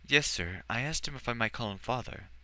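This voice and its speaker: A man's voice: medium pitch, animated, fairly quick, sharp tone, pitched above the performer's own voice. A man voicing a timid, eager young boy who asks breathless, earnest questions in a storybook reading. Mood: timid, polite.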